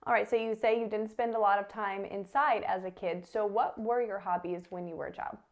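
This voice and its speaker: A woman's voice: medium pitch, fairly even intonation, fairly quick. Woman interviewer asking direct questions. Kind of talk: scripted intro then interview questions.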